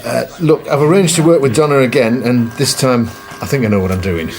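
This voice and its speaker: An actor playing a patient psychologist who draws out buried memories, speaking slowly and calmly in a coaxing, hypnotic way. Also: male voice, mid-range voice, lightly acted. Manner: calm, slow, coaxing, hypnotic questioning. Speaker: memory recall specialist. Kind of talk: radio drama acting. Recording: music playing under the voice.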